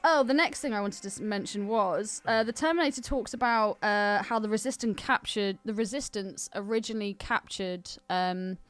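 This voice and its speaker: A woman's voice: mid-range voice, normal speed, few pauses, smooth, no room sound, soft background music. A British woman co-hosting a podcast, chatting casually and informally with teasing, sweary banter. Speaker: podcast co-host. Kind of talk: casual film podcast chat.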